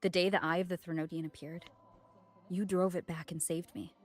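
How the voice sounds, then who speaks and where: A woman's voice: on the low side, level tone, a little fast, close to an everyday voice. An actress playing a reserved, earnest young woman with a probing manner in a video game. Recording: music under the voice.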